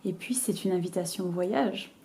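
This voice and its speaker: A woman's voice: on the low side, moderate pace, mellow. A woman hosting an interview, offering short supportive affirmations and rephrasings. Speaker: host, coach. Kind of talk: casual interview chat.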